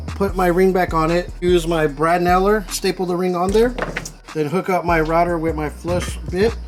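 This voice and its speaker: A man hosting a DIY car audio build video, talking informally and explaining each step as he works. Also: fairly high pitch. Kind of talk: casual hands-on workshop tutorial. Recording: music playing behind the voice. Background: music.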